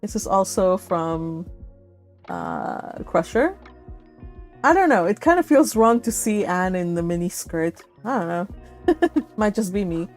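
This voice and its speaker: A millennial woman hosting a book read-along livestream, chatting casually with viewers in an animated, giggly, exclaiming way. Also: fairly low pitch, unhurried, pauses often, soft background music. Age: 30s to early 40s. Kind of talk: casual live chat with viewers.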